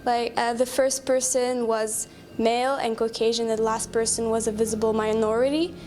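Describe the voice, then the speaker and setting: Woman w fairly high voice, calm n matter of fact about it. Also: moderate pace, slight background noise. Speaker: experiment participant. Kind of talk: interview reflection.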